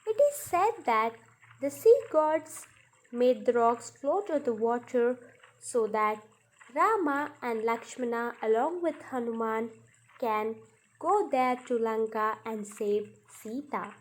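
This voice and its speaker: A woman narrating a children's story from a script, in deliberate, halting phrases with pauses. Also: a little high, animated, low-quality recording. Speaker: storyteller narrating a puppet show.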